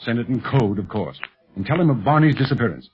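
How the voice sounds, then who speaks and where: An actor playing a capable, steady, commanding secret police agent, earnest and authoritative in 1930s radio serial style. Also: male voice, medium pitch, quick pace, lightly acted. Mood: businesslike. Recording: muffled 1930s radio recording.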